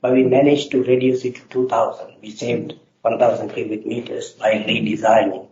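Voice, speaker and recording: A middle-aged man from Sri Lanka, answering interview questions in a halting way with many filler sounds. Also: mid-range voice, flat delivery, darkish tone, a little hiss or hum. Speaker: guest engineer. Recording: roomy, slightly dull sound.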